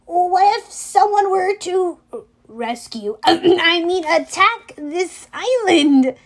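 A woman's voice: very high voice, expressive, takes it slowly, voice pushed up, full character voice. A woman voicing a nervous young male satyr who is posing as a sweet bride and speaks in a fluttery, hesitant way. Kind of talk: audiobook character reading.

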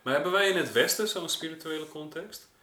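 Male conversation partner, forceful bright tone, casual and halting, heard at some distance in a reverberant room. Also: a little high. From Netherlands. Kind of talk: casual chat. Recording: echoey room, away from the mic.

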